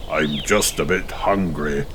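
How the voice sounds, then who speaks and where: A man's voice: very deep voice, slow pace, noisy background. A man voicing a courteous, hungry big wolf in a children's storybook. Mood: courteous, sly.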